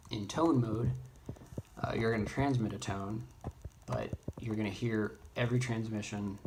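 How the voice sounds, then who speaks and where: A man giving an unscripted how-to tutorial in a halting way, thinking aloud as he explains each step. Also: on the low side. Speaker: instructor in a radio how-to tutorial. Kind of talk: unscripted screen-demo tutorial. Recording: noisy, keyboard and mouse clicks. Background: noise, typing and clicking.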